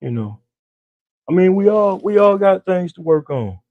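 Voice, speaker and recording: A man co-hosting a podcast with his wife, with a deep voice, chatting casually and talking straight to listeners. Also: big pitch swings, moderate pace, phone-quality sound. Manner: laid-back, talks straight to listeners as y'all. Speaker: podcast co-host.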